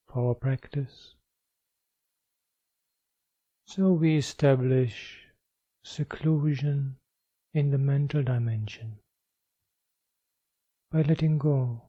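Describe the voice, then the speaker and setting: A man guides a meditation in a very dark, soft-edged voice. He speaks slowly and calmly, leaving long pauses between short phrases. The recording is close and dry, though somewhat dull and muffled. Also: mid-range voice, dry recording. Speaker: meditation teacher.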